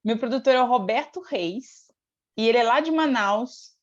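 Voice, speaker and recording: High-voiced Brazilian woman, slightly muffled. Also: expressive, moderate pace. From Brazil, born in Rio de Janeiro state, raised in Paraná from age eight. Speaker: singer, interview guest. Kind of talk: casual chat, storytelling.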